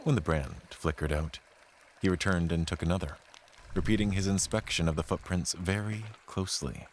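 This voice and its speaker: A man telling a scripted frontier tale as a dramatic narrator, in a measured, formal, literary way. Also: low-pitched, steady tone, a little hiss or hum. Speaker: storyteller narrating an audio play. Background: music under the voice.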